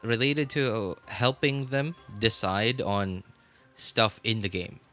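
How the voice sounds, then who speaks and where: Bright-voiced man chatting on a stream. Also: lowish voice, slow pace, faint music behind. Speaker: game livestreamer. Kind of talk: casual rambling stream chat.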